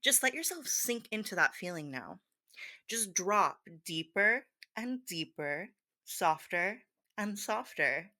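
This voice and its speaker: A woman playing a confused, ditzy, giggly hypnosis teacher in a soft roleplay, with scattered, hesitant, girlish talk full of fillers. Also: medium pitch, heavily acted. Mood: soft, soothing.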